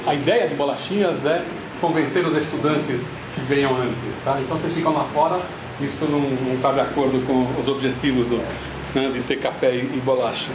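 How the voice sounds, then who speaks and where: A man's voice: medium pitch, steady tone, brisk. Chatty man introducing a speaker, echoey hall. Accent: Argentine Spanish. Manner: joking, chatty. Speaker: session chair introducing the speaker. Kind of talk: informal introduction at a colloquium. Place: lecture hall. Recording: echoey noisy lecture hall.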